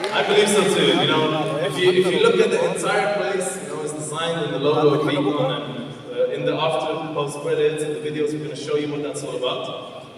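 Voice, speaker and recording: A man's voice: a little high, noisy background. A man in his twenties from Afghanistan, hosting a conference on stage and working the crowd with questions. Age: 20s. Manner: energetic, engaging the audience with questions. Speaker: event host. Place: large convention hall. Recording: echoey hall, far from the mic.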